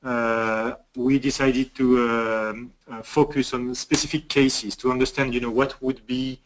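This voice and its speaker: A man presenting as a cybersecurity manager in a webinar, speaking haltingly with many hesitations. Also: mid-range voice, flat delivery, poor audio.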